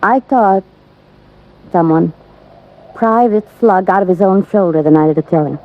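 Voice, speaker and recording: A woman's voice: medium pitch, normal speed, natural voice. An actress playing an earnest, anxious young American woman, loyal and pleading, in a 1950s radio drama. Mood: troubled. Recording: muffled, hissy vintage radio recording.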